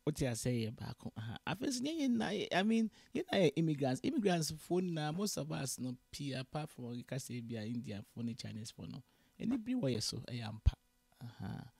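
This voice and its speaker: A woman's voice: extremely low pitch, brisk, vocal fry, husky, dry recording. A Ghanaian woman, a guest in an interview, who tells her story in a casual mix of English and Twi and acts out the dialogue with energy. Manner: switches freely between English and Twi, animated in retelling dialogue. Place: Canada.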